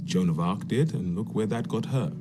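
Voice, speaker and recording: A male actor as an urbane secret-order handler in a video game cutscene. His lowish voice is measured and formal, stern with a sardonic dryness. Music runs behind him. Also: close to an everyday voice. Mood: stern, commanding.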